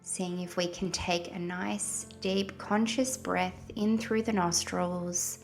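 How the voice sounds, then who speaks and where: A woman leading a guided meditation in a gentle, soothing manner with long pauses between phrases. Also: lowish voice, steady tone. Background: soft background music.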